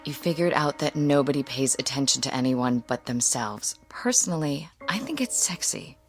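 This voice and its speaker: An actress playing an exasperated wife in a radio drama, brisk and dismissive but placating her husband. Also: rumbling low, expressive, faint music behind.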